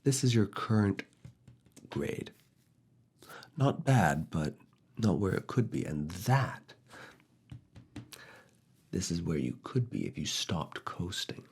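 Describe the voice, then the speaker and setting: Actor as male university professor, ASMR roleplay: husky, breathy, quietly seductive and exacting, slow delivery with swooping intonation and whispers. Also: big pitch swings, full character voice. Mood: firm, challenging. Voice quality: husky, breathy, low gravelly voice. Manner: slow, deliberate, pauses between short phrases, slipping into whispers. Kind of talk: ASMR roleplay, soft intimate one-to-one talk.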